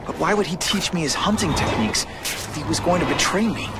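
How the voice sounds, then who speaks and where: Male actor as young game superhero, high voice, very animated delivery, sarcastic, quippy, indignant. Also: a little fast, heavy background noise, natural voice. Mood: troubled, determined. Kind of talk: video game voice acting.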